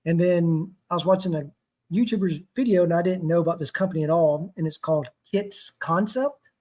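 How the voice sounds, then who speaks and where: A man on a podcast, chatting in an easygoing, relaxed way. His voice sits a bit higher than most men's. He talks in long, loose runs. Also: a little fast. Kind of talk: casual podcast chat.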